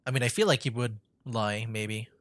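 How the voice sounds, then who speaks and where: A man's voice: mid-range voice, normal speed, a bit bright. A man giving casual, amused commentary while streaming a video game. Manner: chatty, amused.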